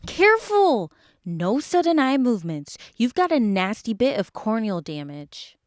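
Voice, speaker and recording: An actress playing a brisk, caring park vet in an audio drama, matter-of-fact and instructive. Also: female voice, on the high side, sing-song, dry recording, studio quality. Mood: brisk concern.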